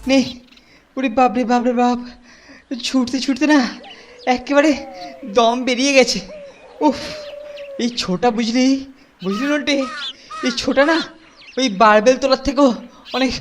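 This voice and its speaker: A woman's voice: sits high, fairly even intonation, moderate pace, pauses often, natural voice. A woman voicing a bossy, cowardly older boy who leads a gang in a cartoon, blustering and ordering the others about. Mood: exhausted. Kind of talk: cartoon voice acting.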